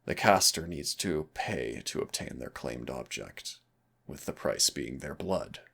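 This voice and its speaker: Deep gravelly demon mentor, acted by a man. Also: lively intonation, fairly bright tone, heavily acted. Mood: grave, wry. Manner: teasing, deliberate, dramatic pauses. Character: teasing male demon hunter, patient but stern mentor. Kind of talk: audio roleplay acting, intimate second-person dialogue.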